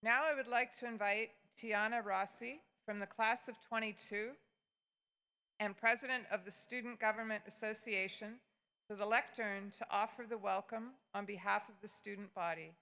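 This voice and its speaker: An older woman giving a measured, formal introduction at a lectern during a ceremony. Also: medium pitch, steady tone, bright, forceful tone. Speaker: ceremony presenter. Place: large arena, indoors. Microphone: lectern mic.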